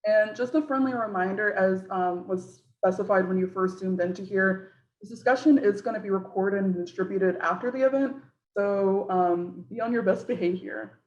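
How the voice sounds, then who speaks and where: A woman's voice: mid-range voice, normal speed, a little mellow. A woman moderates a panel over a video call in a casual, friendly way, with plenty of fillers. Her audio sounds roomy and muffled, typical of a dull video call recording.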